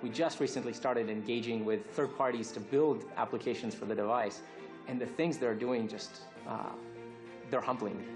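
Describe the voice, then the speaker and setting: A man's voice: mid-range voice, steady tone, moderate pace. A man giving an emphatic promotional interview testimonial as a developer. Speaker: technology developer. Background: music.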